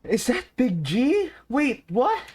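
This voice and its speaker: A woman's voice: sits high, sing-song, slow pace, darkish tone, slight background noise. A woman streamer giving casual, playful reaction commentary over a game, in short muttered remarks. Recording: game music clearly behind.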